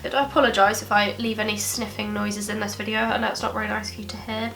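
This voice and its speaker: A woman's voice: medium pitch, little pitch movement, fast, gravelly, fairly bright tone. A young woman with a stuffy head cold, chatting through a makeup tutorial at her desk with plenty of ums. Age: young adult. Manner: chatty, friendly, many ums. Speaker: beauty vlogger. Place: bedroom desk indoors.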